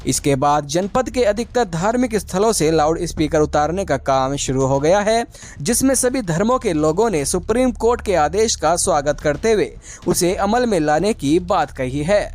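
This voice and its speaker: Guy doing a scripted news report voiceover, pretty high voice for a man. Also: animated, normal speed, a little mellow.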